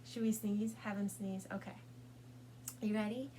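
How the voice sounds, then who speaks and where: A woman's voice: medium pitch, steady tone, moderate pace, dark tone, a little hiss or hum, low-quality recording. A woman leading storytime as a children's librarian, reading and singing along in a sing-song, coaxing way as if to small children, with questions for them.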